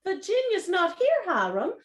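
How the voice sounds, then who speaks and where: Actress as a high, animated radio-play mother. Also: moderate pace, full character voice. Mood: concerned. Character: composed, practical mother and wife of the house.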